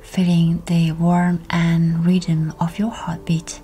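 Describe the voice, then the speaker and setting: Female meditation guide: low, hushed and breathy, very even delivery, faint music underneath. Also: slow pace.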